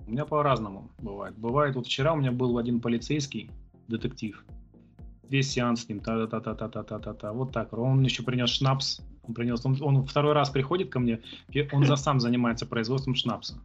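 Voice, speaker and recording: A man's voice: mid-range voice, fairly even intonation, brisk, lo-fi sound, faint music behind. A man hosting a casual podcast, enthusiastically encouraging his guest and telling stories from his own tattoo sessions. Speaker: podcast host, tattoo artist.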